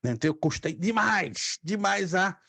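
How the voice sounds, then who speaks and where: High-voiced man teaching, dry close sound. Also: animated, normal speed, gravelly, sharp tone. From Brazil. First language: Spanish and Hebrew. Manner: patient, repeats words for students to echo. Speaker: theology teacher. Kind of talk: online class lecture.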